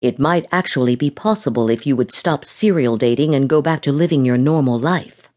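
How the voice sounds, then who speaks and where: A woman's voice: extremely low pitch, a little fast, darkish tone, pitched below the performer's own voice. A woman reading the lines of an impatient, curt adult man in an audiobook romance. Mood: exasperated. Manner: curt, ordering.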